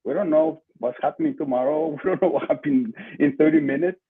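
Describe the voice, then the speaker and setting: Older male podcast guest, Peruvian Spanish accent, slightly high-pitched, conversational and emphatic, phone-like video-call sound. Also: a little fast, breathy edge. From Lima, Peru. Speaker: podcast guest, marketing entrepreneur. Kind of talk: conversational interview answers. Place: small room at home, indoors. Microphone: video call, computer mic at desk distance. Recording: muffled, lo-fi video call audio.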